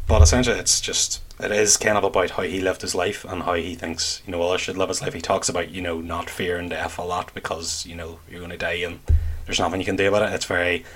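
A man's voice: deep voice, a bit bright. A man co-hosting a podcast, explaining his current reading in casual chat. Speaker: podcast co-host.

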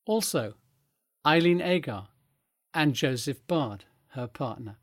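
An older English man narrates in a measured, articulate way. His voice sits a little high for a man and is recorded dry, with very little room sound. It has the shape of scripted documentary storytelling. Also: lively intonation, no room sound. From England. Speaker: guest speaker recounting family history. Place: recorded in a family home.

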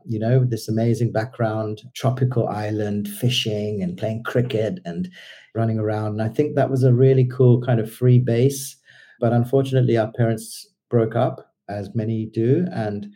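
A man's voice: medium pitch, mellow. A man, talking as an interview guest in a rambling, hesitant way with many fillers. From Sri Lanka. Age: 50s. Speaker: guest entrepreneur. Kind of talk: casual interview talk.

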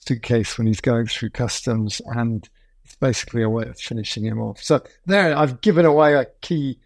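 A man's voice: mid-range voice, normal speed, vocal fry, no room sound. A man hosting a podcast, talking in a chatty, digressive way with fillers and restarts. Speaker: podcast host. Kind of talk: casual podcast interview.

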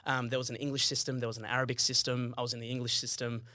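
A young man, a podcast guest telling animated, slangy stories from his childhood. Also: medium pitch, very fast, no room sound, studio quality. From Australia. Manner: animated, slangy, acts out quotes. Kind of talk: casual storytelling chat.

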